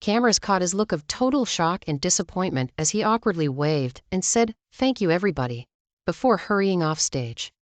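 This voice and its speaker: A woman's voice: on the low side, animated, moderate pace, no echo. A woman delivering scripted news narration as a channel's narrator.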